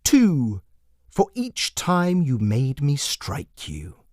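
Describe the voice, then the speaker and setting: Man putting on a menacing high-pitched evil jester. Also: wildly swooping pitch, darkish tone, no room sound, studio quality, exaggerated voice. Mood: cold, demanding. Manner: slow, deliberate, theatrical menace. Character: menacing male jester, a sinister supernatural clown. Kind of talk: dramatic fantasy storytelling.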